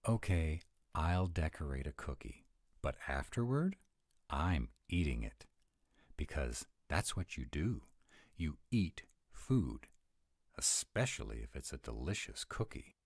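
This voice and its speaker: A man performs a tsundere male were-dragon in an intimate audio roleplay, with an extremely low voice and an unhurried pace. He grumbles in exasperation yet has a soft, boyish side, and his delivery swings widely in pitch. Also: sing-song, no room sound, clean studio sound, full character voice. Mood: reluctant, exasperated. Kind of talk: audio roleplay acting, addressed to the listener.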